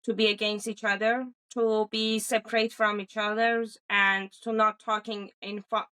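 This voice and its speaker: Bright-voiced Iranian woman, halting delivery. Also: mid-range voice, flat delivery, clean tone. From Iran. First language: Persian. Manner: halting, searching for words in non-native English. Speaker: interview guest giving a first-hand account. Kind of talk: unscripted interview answers.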